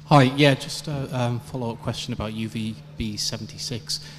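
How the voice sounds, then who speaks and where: A man in an audience putting an informal, halting question, a little mumbled. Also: mid-range voice, fairly even intonation. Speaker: audience member asking a question. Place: large venue, audience floor. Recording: echoey hall, clear noise and hum.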